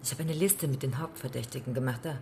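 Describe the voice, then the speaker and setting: An actress playing a brisk, businesslike woman helping a sleuth in a German radio drama. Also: extremely low pitch, gravelly, a little mellow, a little hiss or hum.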